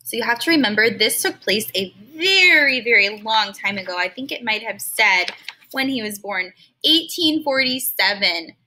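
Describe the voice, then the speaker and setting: A woman reading a children's story aloud like a teacher, expressive and stopping now and then to explain words in simple terms. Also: a little high, moderate pace.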